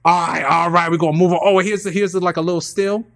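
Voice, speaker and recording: A man's voice: high voice, steady tone, fast, creaky, fairly bright tone. A man in casual, self-promoting chat, talking in hype slang and repeating phrases for emphasis. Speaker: playwright and performer.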